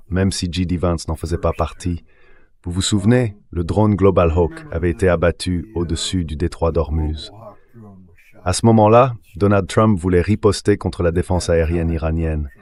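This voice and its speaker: Man, very low voice, political commentator, translated voice-over, emphatic and assertive delivery. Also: darkish tone. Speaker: interview guest, political commentator.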